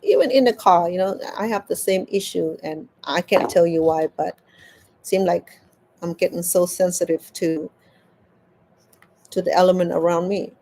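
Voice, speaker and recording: Woman telling a story haltingly. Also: lowish voice, mellow, a little hiss or hum, rough recording. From Cambodia. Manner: halting, repeats words as she searches for them. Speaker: crochet vlogger. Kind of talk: casual storytelling while crafting.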